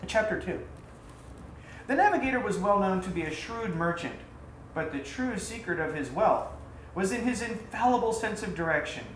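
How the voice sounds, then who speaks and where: A man's voice: sits high, lively intonation, fairly bright tone. Man narrating a tale in an echoey room. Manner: dramatic, deliberate, ornate delivery. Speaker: author reading own story aloud. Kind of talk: theatrical storytelling narration. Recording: roomy echo, faint background noise.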